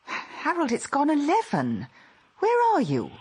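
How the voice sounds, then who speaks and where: An anxious, firm wife. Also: female voice, high-pitched, huge pitch swings, unhurried, a little mellow, heavily acted. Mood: worried. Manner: firm, stammers when worried. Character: anxious, practical wife on the phone. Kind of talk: radio drama acting.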